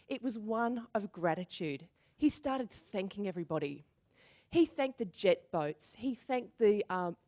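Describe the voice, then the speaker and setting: A woman delivering a motivational keynote as an animated storyteller. Her voice sits a little high, and her pitch rises and falls in lively swings. She acts out quotes and laughs easily along the way. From born in Singapore. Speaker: keynote speaker.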